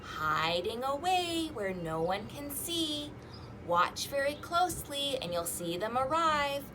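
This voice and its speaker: A woman hosting a children's storytime, reading rhymes and picture books aloud in an animated, sing-song, exaggerated way. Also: high voice, takes it slowly, noisy background. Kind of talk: interactive children's read-aloud with rhymes and fingerplay.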